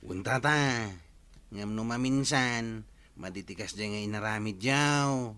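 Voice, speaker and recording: Man acting as an aggrieved, bright-voiced Ilocano villager. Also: fairly low pitch, measured pace, clear, resonant tone, no room sound. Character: aggrieved villager firmly demanding his stolen money back. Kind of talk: Ilocano comedy drama acting.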